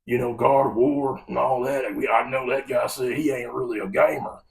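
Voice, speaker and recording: A man's voice: mid-range voice, steady tone, moderate pace, gravelly, far from the mic. An American man giving an opinionated, exasperated film review rant in a folksy, emphatic way. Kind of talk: opinionated movie rant to camera.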